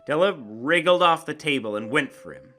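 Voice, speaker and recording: A man's voice: fairly high pitch, animated, normal speed, sharp tone. A man narrates a classic short story as an emphatic, theatrical dramatic reading, savoring his phrases. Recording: guitar music behind the voice. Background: plucked guitar music.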